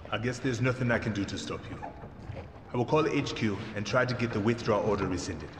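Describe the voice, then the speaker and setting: A man's voice: lowish voice, fast talker, close to an everyday voice. Man as resigned comrade in echoing space. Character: concerned male comrade, resigned and loyal. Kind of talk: video game cutscene acting. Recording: echoing, reverberant, noisy background.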